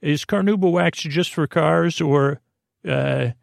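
A man hosting a sleep podcast with an improvised bedtime monologue, meandering, halting and mildly self-deprecating, with a creaky voice. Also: a little high, no echo, clean studio sound. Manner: meandering, halting, often trailing off mid-sentence.

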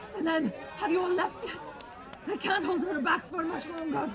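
A woman's voice: very high-pitched, moderate pace, a crowd in the background. A woman acting in an audio drama as a protective female vampire, speaking urgently and dramatically. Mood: anxious. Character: commanding female vampire, fierce and protective friend.

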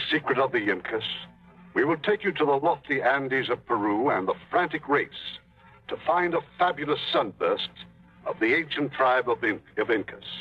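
A man's voice: mid-range voice, husky. A man hosting as producer, giving a polished, stately introduction to a radio play. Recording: tinny 1950s radio broadcast with music.

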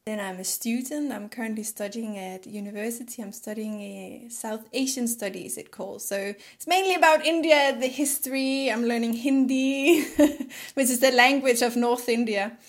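A young woman from Denmark with Danish as her first language talks casually as a podcast guest. Her voice sits a little high, and she has a chatty, conversational delivery. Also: normal speed. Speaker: podcast guest, yoga teacher.

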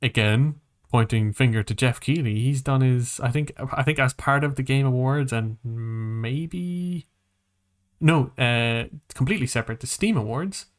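A man co-hosting a podcast in casual conversation, talking in a rambling, halting way and often rephrasing mid-sentence. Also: medium pitch. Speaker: podcast co-host.

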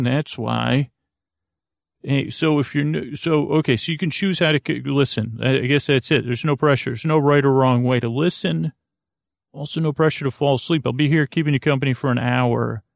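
Male bedtime podcast host, mid-pitched and creaky, meandering and self-interrupting delivery, recorded dry. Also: no room sound. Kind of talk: rambling bedtime podcast monologue.

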